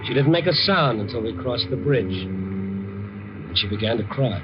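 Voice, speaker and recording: A man's voice: on the low side, unhurried, lightly acted. An American man acting in a 1950s radio drama as a tough, wry, kindly newspaperman who narrates his night story in an understated way. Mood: brooding. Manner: wry, hard-boiled, understated. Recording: dull, band-limited 1950 radio recording with music.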